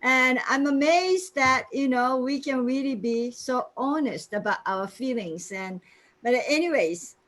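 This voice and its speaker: Japanese woman, 60s, slow earnest testimonial, a touch high-pitched, video call. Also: fairly bright tone. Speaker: guest speaker sharing her story.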